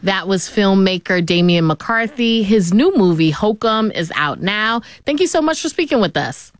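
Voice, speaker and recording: A woman's voice: medium pitch, lively intonation, normal speed, dry recording. A woman hosting a public radio interview, asking questions in a conversational way. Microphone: studio microphone.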